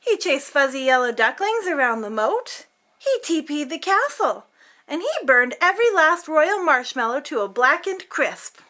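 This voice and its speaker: A woman's voice: high voice, big pitch swings, normal speed, pushed and bright. A woman reading a children's picture book aloud as host, theatrically, drawing out words for emphasis.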